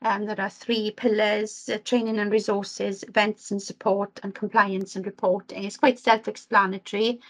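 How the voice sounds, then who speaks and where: A woman's voice: medium pitch, level tone, moderate pace, hardly any pauses, fairly bright tone. A woman from Wales giving an earnest work report on a video call, with frequent ums and restarts. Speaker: Welsh language officer reporting to committee.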